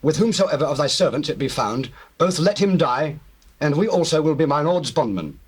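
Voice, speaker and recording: An anxious Hebrew brother in a Bible drama. The voice is husky and swings widely as he earnestly protests to his superiors in archaic speech. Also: a little high, lively intonation, normal speed. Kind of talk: dramatized scripture, stage acting.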